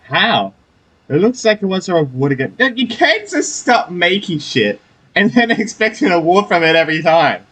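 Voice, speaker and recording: A man's voice: sits high, big pitch swings, normal speed, fairly bright tone, slightly rough. A man giving casual, sardonic commentary while playing a video game, swearing offhandedly. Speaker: gamer playing through a story quest.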